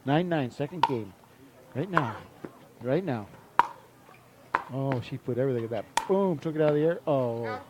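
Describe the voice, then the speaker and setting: A man giving chatty, excitable live commentary on a sports match. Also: mid-range voice, normal speed, pauses often, muffled recording, heavy background noise.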